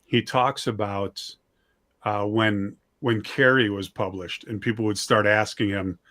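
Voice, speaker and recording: A man talking in a casual discussion, conversational and halting, telling things as anecdotes. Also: fairly low pitch, fairly even intonation, bright, forceful tone.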